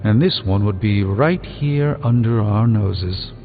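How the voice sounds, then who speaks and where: An actor playing a learned, dry-witted sorcerer superhero in a video game, speaking in a measured, articulate way. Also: male voice, lowish voice. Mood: pensive, grave. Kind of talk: video game dialogue acting. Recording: muffled thin band, reverberant, music behind.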